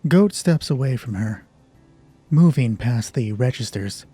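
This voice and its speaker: A man narrating a solo tabletop horror roleplay as game master in measured, short descriptive phrases. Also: medium pitch, lively intonation, warm tone, husky, dry recording. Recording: music behind the voice. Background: music.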